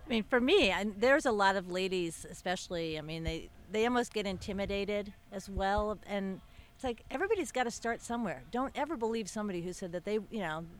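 A woman's voice: mid-range voice, animated, fairly quick, hardly any pauses. A woman, a guest on a golf podcast, talking in an easygoing, encouraging way. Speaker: guest, avid golfer. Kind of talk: casual interview answers.

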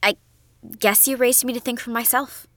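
A woman's voice: on the high side, fairly even intonation, moderate pace, a bit bright, no room sound, clean studio sound, close to an everyday voice. A woman playing a stubborn, questioning young daughter in a naturalistic audio drama, hesitant yet defiant.

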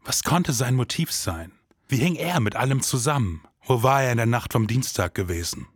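A man's voice: mid-range voice, expressive, normal speed, slightly rough, dry recording, studio quality. A man in his forties hosting a podcast with scripted, detective-noir style narration. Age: 40s.

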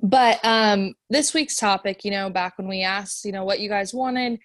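A woman's voice: mid-range voice, moderate pace, dry recording. Young woman co-host, blunt and teasing, laughs easily, mid-range voice, dry close mic. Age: 20s. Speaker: podcast co-host. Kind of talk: casual chat.

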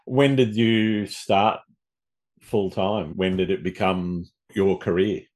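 A man's voice: low voice, fairly even intonation, slow, darkish tone. A man hosting a podcast interview, asking long, meandering questions. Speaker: podcast host.

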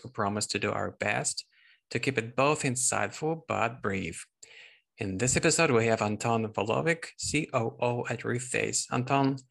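A man's voice: mid-range voice, gravelly, fairly bright tone. A man hosting a podcast, introducing and interviewing a guest. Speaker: podcast host.

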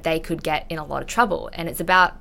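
A woman's voice: sits low, fairly even intonation, quick pace, gravelly, bright, forceful tone, slight background noise. A woman in her twenties with an Australian accent, talking candidly and informally in a conversational interview. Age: 20s. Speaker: intellectual property investigator, podcast guest.

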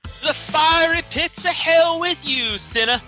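A woman's voice: very high-pitched, slow, pushed and bright, faint music behind, pitched above the performer's own voice, exaggerated voice. A woman playing a pompous, preachy judge of sinners who gets flustered, in improvised comedy. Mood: stern, flustered. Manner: preachy, faltering. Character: pompous fire-and-brimstone damnation judge, easily flustered.

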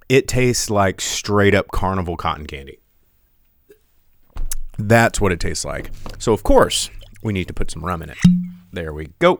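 A man's voice: low-pitched, creaky, fairly bright tone. A man hosting a podcast livestream in a casual, rambling way, with lots of ums and restarts and a loose, jokey tone. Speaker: podcast host.

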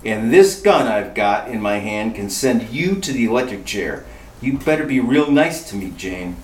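Man playing a lively, wisecracking retro radio sleuth. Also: lowish voice, normal speed, natural voice. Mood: sly, tough. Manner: breezy, wry. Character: wisecracking married male amateur sleuth, breezy and sly. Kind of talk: retro radio detective acting. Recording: roomy, distant radio-play recording with music.

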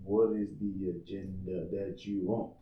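A man's voice: low voice, level tone, measured pace. A man co-hosting a podcast in a casual, conversational style. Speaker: podcast co-host. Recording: dull, echoey room recording.

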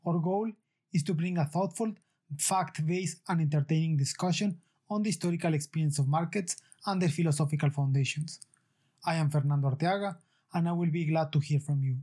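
Husky male podcast host, formal. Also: on the high side, dark tone. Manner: formal, deliberate. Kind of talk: interview questions and scripted outro.

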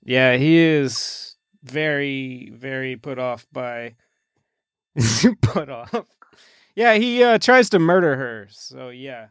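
Droll guy on a podcast, really slow n halting, smooth voice, dry close sound. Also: medium pitch, expressive, no echo. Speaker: podcast co-host. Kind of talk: casual film discussion.

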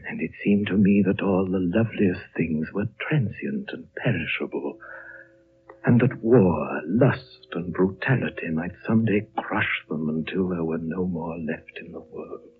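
An actor playing an ancient, wise and grave lama with a husky voice, solemn and oratorical in a 1930s radio drama style. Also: male voice, low-pitched, a little slow, slight background noise. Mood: grave foreboding. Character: ancient wise High Lama, gentle, grave, foreboding prophet. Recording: muffled vintage 1930s radio recording.

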